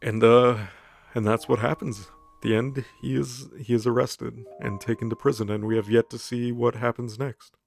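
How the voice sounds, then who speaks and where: Gravelly man giving a flippant casual recap. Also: medium pitch, flat delivery, moderate pace. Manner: flippant, slangy, acts out dialogue offhandedly. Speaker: host recapping a fictional story. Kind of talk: casual comedic plot recap. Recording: music bed under the voice. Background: background music.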